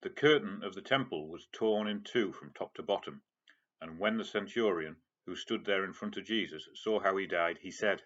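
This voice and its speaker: A man narrates a dramatic scripture passage. His voice is deep and slightly husky, captured with a muffled, lo-fi sound. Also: moderate pace, a bit bright. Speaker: narrator of a gospel reading. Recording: dull lo-fi sound.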